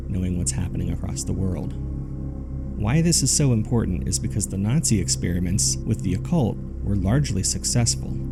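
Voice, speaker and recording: Casting: earnest paranormal podcast host, lowish creaky voice, measured scripted horror narration over music. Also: male voice. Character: earnest male paranormal podcast host reading a listener's ominous letter.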